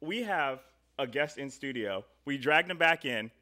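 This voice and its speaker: A man's voice: medium pitch, expressive, unhurried, sharp tone. A man in his twenties hosting a live show in a casual, chatty, jokey way. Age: 20s. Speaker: host. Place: indoor studio. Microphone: handheld microphone on stand.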